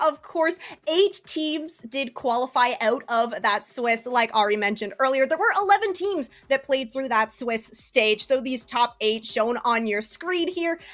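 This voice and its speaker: A woman's voice: fairly high pitch, a bit bright. A woman presenting a live esports broadcast casually, upbeat and chatty as she addresses the viewers. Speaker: esports broadcast host and caster.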